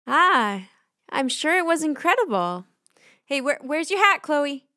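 A woman's voice: high voice, sing-song, a little slow, sharp tone, no echo, studio quality, heavily acted. A woman playing a teasing, mildly exasperated mother in a children's audio drama. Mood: wry, exasperated.

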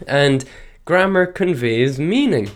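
A man's voice: on the high side, expressive, slow. A man from County Clare with an Irish accent, whose first language is Irish, hosting a casual solo show. He thinks aloud and drifts between tangents, correcting himself and laughing lightly as he goes. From Ireland. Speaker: podcast host.

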